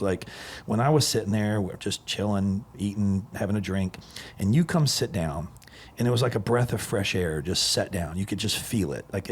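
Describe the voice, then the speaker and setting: A man's voice: low voice, breathy edge. A man in his forties hosting a podcast, telling stories in an enthusiastic, rambling casual chat. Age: 40s. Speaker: podcast host.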